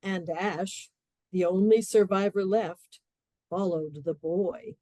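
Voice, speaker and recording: A woman narrating a radio play in a measured, literary storytelling manner. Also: mid-range voice, dark tone, dry recording.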